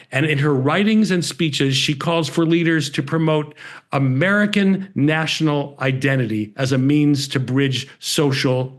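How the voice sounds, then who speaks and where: Man, host, scripted introduction, somewhat high voice, formal presentation. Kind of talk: scripted introduction read aloud.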